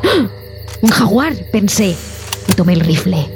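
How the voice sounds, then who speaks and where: Haunted jungle explorer, mid-pitched, recounting horror deliberately for audio drama, music underneath. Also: female voice, sing-song, darkish tone. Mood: fearful, tense.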